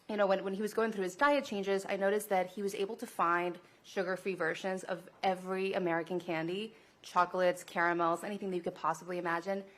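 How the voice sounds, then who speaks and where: Enthusiastic low-voiced woman from Mexico. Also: fairly bright tone. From Monterrey, Mexico. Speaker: small business founder giving testimony. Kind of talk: personal storytelling in public testimony.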